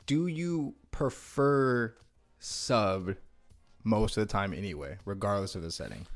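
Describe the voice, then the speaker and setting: A man's voice: on the low side, animated, unhurried, soft background music. Easygoing man in casual podcast chat. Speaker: podcast co-host.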